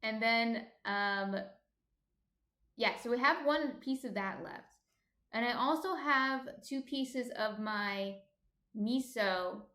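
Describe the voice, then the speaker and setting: A woman's voice: a little high, slow, sharp tone, roomy sound. A woman with a slight New Jersey accent hosts a baking livestream, reading viewer comments aloud and chatting as she cooks. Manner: chatty, thinks aloud while cooking.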